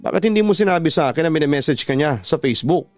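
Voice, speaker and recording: A man's voice: a little high, expressive, racing, no echo, soft background music, voice pushed up. A Filipino man voicing a hurt, suspicious boyfriend in a radio drama, reproachful and agitated.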